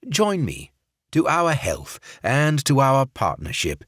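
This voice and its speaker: A man voicing an undercover businessman who puts on a fake English accent, smooth and nervously ingratiating. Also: medium pitch, wildly swooping pitch, slow pace, fairly bright tone, no room sound, studio quality, voice pushed up, exaggerated voice.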